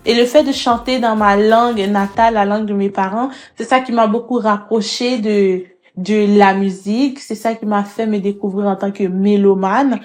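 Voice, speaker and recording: A young woman singer, answering interview questions casually, with hesitant fillers and restarts. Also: medium pitch.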